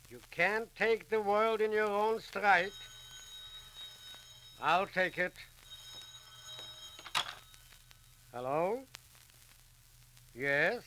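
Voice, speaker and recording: An actor playing a grudging, self-important middle-aged husband in a 1940s radio serial, with a deliberate, hesitant delivery. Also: male voice, high-pitched, bright, forceful tone, full character voice. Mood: grudging, dry. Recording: crackly 1940s radio recording.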